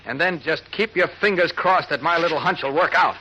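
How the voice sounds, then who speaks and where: A man's voice: fairly high pitch, fairly quick, dull audio, natural voice. A man with an American accent playing a serious, urgent newspaper reporter in 1940s radio drama style on an old recording. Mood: urgent, insistent. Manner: urgent, authoritative. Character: brisk, serious adult male newspaper reporter investigating a disappearance.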